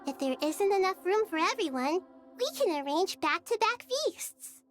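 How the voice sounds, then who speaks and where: Small wise goddess, high childlike voice, composed, measured, gently playful, game character, music behind. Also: female voice, big pitch swings. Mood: warm, playful. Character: gentle wise childlike female deity and ruler. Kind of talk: video game voice acting.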